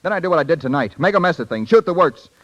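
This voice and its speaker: Fed up proud american husband, 1930s radio drama, quick talker, really animated. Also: male voice, a little high, muffled recording. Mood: bitter. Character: hot-tempered young husband, proud and fed up.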